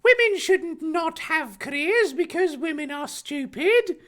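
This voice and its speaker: Very high, preachy boy hero. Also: animated, a little slow, fairly bright tone, full character voice. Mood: indignant, earnest. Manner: indignant, declamatory. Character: earnest, pious, preachy teenage boy hero.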